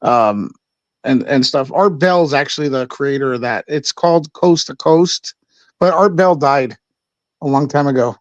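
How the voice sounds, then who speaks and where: Male livestream host, loose and rambling delivery, muffled low-fidelity audio. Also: measured pace, no room sound. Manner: rambling, with many fillers and restarts. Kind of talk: casual chat. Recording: dull lo-fi audio.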